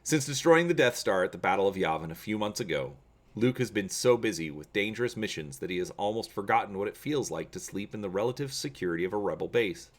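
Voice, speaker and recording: Low-voiced man reading an adventure story dramatically. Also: lively intonation, quick pace. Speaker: host of a gaming channel. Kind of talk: dramatic audiobook-style reading of an adventure gamebook.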